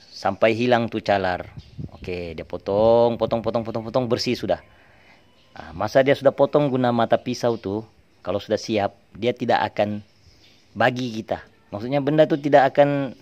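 A man's voice: fairly low pitch, a little mellow. A man giving informal hands-on mechanic's instruction, step by step, repeating phrases for emphasis.

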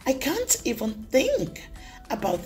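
A woman plays an excitable, playful goat kid telling a children's storybook tale. The delivery is bubbly and extremely animated, with slow, drawn-out emphasis on key words. Music plays underneath. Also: sits high, pitched above the performer's own voice, full character voice. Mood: eager, playful. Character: excitable, playful young goat kid who loves making friends laugh. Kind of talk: first-person children's storybook read-aloud.

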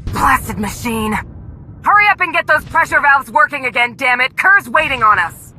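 Sharp, sneering villain woman. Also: high-pitched, animated, moderate pace, a little hiss or hum, heavily acted. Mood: irritated, barking. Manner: sneering, forceful. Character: hot-tempered, defiant villainous female gang leader. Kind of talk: video game cutscene acting.

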